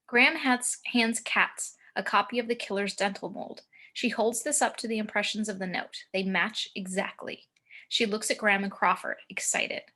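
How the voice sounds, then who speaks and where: A woman narrates a screenplay's action and scene headings. Her voice is very bright and forward, at an average pitch for a woman. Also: steady tone, moderate pace. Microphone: home video call.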